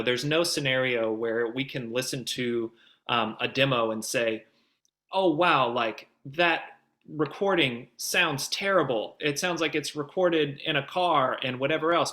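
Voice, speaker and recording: Guy with a bright punchy voice, mid pitch, explaining industry advice emphatically in a chatty way. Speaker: guest voice demo producer. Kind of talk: conversational expert advice.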